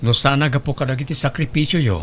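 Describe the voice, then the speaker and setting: A man's voice: mid-range voice, quick pace, fairly bright tone, natural voice. A man playing a dutiful, grateful young student grandson in a radio drama, affectionate and earnest. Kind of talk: Ilocano radio drama acting.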